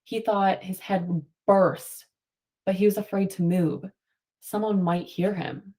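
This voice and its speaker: A woman's voice: medium pitch, fairly even intonation, a little slow, dark tone. A woman teacher reading a picture book aloud to children and prompting them with questions, affirming their answers.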